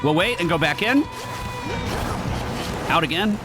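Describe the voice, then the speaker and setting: Casual male streamer, slightly high register, jokey play-by-play patter with game music clearly behind him. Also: expressive, a little slow. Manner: casual, running self-talk, jokey. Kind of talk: live gameplay commentary.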